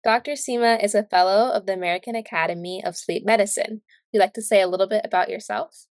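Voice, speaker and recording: A woman's voice: mid-range voice, fairly even intonation, quick pace, no echo. A woman hosting a podcast, giving polite scripted introductions and asking questions. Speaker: podcast host.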